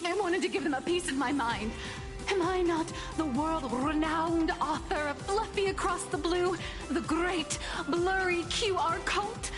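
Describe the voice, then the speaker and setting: A woman's voice: extremely high pitch, unhurried. A woman playing a bubbly, self-important female comic artist in a video game, with a dramatic, griping manner. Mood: indignant. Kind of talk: video game character dialogue. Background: game music.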